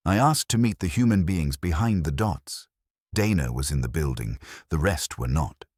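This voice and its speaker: Male audiobook narrator for detective fiction: extremely low pitch, animated intonation, wry delivery, dry recording. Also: slightly rough, dry recording. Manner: wry, measured. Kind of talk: scripted first-person detective story narration.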